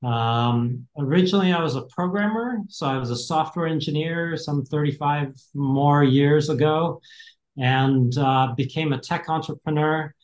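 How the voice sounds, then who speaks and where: A man's voice: on the high side, measured pace. Man, American accent, fifties, coach as interview guest, reflective, hesitant, roomy echo. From United States. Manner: reflective, many fillers and restarts. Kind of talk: casual interview talk.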